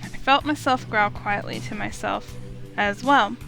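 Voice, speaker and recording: A woman's voice: medium pitch, unhurried, gravelly, over music. A woman reading a game heroine's first-person narration aloud as a let's player, in a dramatic storytelling style.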